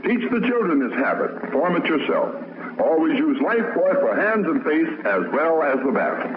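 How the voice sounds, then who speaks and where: A man's voice: a little high, very animated, moderate pace, narrow, tinny audio, heavy background noise. A man earnestly delivering an instructive sponsor message on an old radio show, through a thin, echoey recording.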